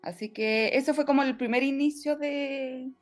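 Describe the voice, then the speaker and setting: Guest: middle-aged woman, slightly high, chatty and easygoing. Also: fairly even intonation, moderate pace, faint music behind. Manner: chatty, laughs often, speaks in loose run-on stretches. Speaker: podcast guest. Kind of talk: casual conversational interview.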